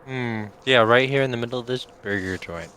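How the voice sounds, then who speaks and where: A man's voice: mid-range voice, a little fast, pushed and bright, smooth, low-quality recording. A man playing a tabletop role-playing game, improvising casually in and out of character.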